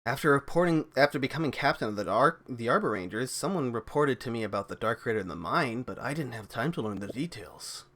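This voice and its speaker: A man's voice: mid-range voice, expressive, fast talker, hardly any pauses, sharp tone. A man streaming a video game, chatting casually and reading story dialogue aloud, with game music behind him.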